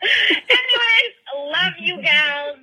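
A woman's voice: very high-pitched, expressive, drawn-out words, sharp tone, poor audio. A middle-aged woman from California leaving a chatty, giggly voicemail over the phone, gushing and affectionate. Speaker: caller.

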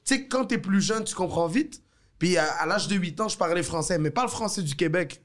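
A man's voice: sits high, expressive, a little fast. A man from Morocco, native Arabic speaker, with a Moroccan French accent, chatting as a comedian guest in a laid-back, upbeat way. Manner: laid-back, upbeat, peppers speech with "bro" and "tu comprends". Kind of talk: casual chat.

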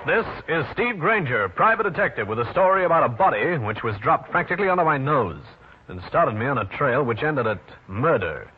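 An actor playing a dry, self-assured private detective in an old-time radio detective drama. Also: male voice, fairly high pitch, sing-song, brisk, slightly rough. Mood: wry narrating. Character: wry, hard-boiled male New York private detective. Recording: dull, noisy vintage radio recording.

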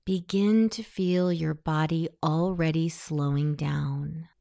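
A woman guiding a scripted hypnosis meditation in a soothing, softly breathy delivery. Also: sits low, steady tone, slow pace, no room sound, clean studio sound.

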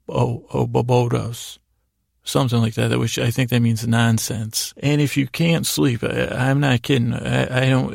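A man hosting a bedtime podcast in a rambling, halting monologue, soothing and gently self-deprecating, with a gravelly voice. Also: medium pitch, dry recording, clean studio sound. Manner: meandering, halting, frequently derailing his own sentences. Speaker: podcast host. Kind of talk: rambling bedtime monologue to help listeners fall asleep.

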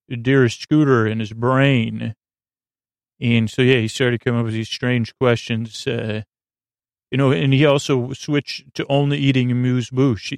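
A man hosting a bedtime podcast, meandering and soft-spoken, with frequent stammers and restarts. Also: medium pitch, creaky, no room sound, clean studio sound. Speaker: podcast host. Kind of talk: rambling bedtime podcast talk.

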